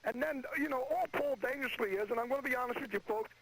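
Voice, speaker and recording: A man's voice: very high-pitched, fast, fairly bright tone, breathy edge. A man calling a radio show as an official, speaking in an indignant, blustery, emphatic way over the telephone. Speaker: state athletic commissioner calling in. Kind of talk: phone-in radio rant. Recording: telephone call on old radio.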